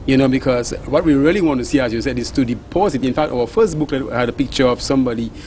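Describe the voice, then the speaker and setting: A man's voice: medium pitch, fast, darkish tone. Middle-aged Dominican man, earnest panel guest, handheld mic, faint outdoor hum. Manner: earnest, repeats words for emphasis. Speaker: Creole teacher and radio broadcaster. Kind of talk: panel discussion. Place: outdoor balcony at night.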